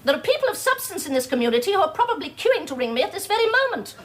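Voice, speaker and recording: A snobbish English housewife. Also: female voice, extremely high pitch, sing-song, racing, background noise. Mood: indignant. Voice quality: affected, plummy, domineering. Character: snobbish, pretentious middle-aged suburban housewife. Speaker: sitcom character.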